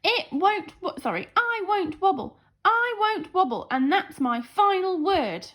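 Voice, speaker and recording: Lady being a stroppy talking jelly in a kids story, high voice, defiant and shouty. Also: sing-song, a little slow, exaggerated voice. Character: stubborn, defiant talking jelly refusing to wobble. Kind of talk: storybook character voice.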